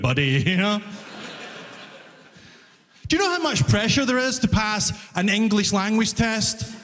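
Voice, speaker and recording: A Scottish man with a Glaswegian accent performs stand-up in a high-pitched voice. His words ring out with long reverb from a live stage. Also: a bit bright. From Glasgow, Scotland. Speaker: stand-up comedian. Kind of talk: stand-up comedy routine. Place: live comedy venue on stage.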